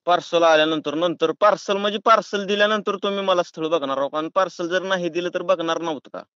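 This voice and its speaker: A man's voice: sits high, quick pace, fairly bright tone, no echo. A man with a rural Maharashtrian accent talking in a pushy, folksy, argumentative way on a prank phone call. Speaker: prank caller. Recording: dull, lo-fi phone call recording.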